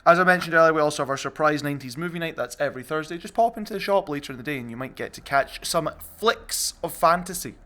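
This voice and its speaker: A man's voice: on the high side, lively intonation, quick pace, hardly any pauses, pushed and bright. A man hosting a casual group podcast, chatting and reading out announcements in a jokey, self-deprecating way. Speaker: podcast host.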